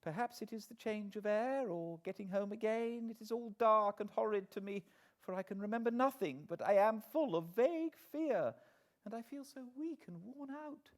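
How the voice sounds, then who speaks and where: A man's voice: high-pitched, moderate pace, voice pushed up, close to an everyday voice. A British man voicing a frail, anxious young woman who reads her diary in a weary, plaintive way, for an audiobook. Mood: fearful, unhappy. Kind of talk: dramatised audiobook diary reading.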